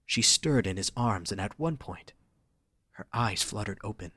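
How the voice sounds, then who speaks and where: A man's voice: fairly low pitch, expressive, moderate pace, background noise. A man narrating a scripted romantic story for an audio drama, reading dramatically.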